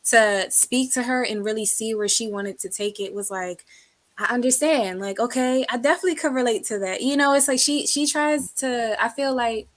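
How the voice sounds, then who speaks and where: A woman's voice: a little high, normal speed. A woman, an actress guest on a talk show, answering earnestly and rambling with many fillers. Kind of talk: casual interview answer.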